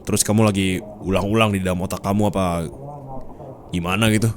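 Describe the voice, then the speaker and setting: A man's voice: deep voice, fairly even intonation, brisk, slight background noise, natural voice. A man playing a possessive, teasing company boss in an intimate ASMR roleplay, coaxing and insistent as he talks closely to the listener. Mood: reassuring, earnest. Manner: close, coaxing, insistent, with short prompting questions. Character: possessive, teasing male company boss, commanding yet forgiving.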